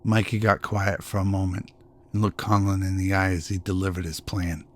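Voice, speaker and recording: A man narrating a sci-fi radio drama as a measured storyteller, in scripted prose. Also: sits low, flat delivery, slight background noise, lightly acted.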